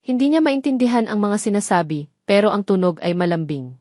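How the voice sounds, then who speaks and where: Woman narrating a drama story, normal pitch, really dry recording. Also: brisk, darkish tone, no echo.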